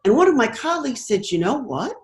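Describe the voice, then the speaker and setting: Woman, American accent, animated intonation, conversational storyteller, thoughtful, reverberant room sound. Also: on the high side, quick pace. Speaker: interview guest, broadcast producer. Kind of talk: conversational anecdotes in an interview. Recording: roomy, echoing sound.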